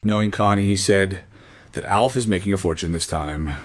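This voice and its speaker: An actor playing a loyal, steady family friend in a crime radio play. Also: male voice, low voice, moderate pace, dry recording. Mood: matter-of-fact.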